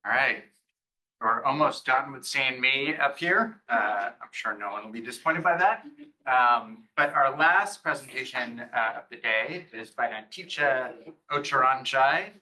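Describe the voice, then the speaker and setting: A man hosts an event and introduces the next speaker, with a very bright, crisp tone. He makes dry, self-deprecating jokes between the introductions. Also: medium pitch, unhurried, poor audio. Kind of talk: introducing presenters.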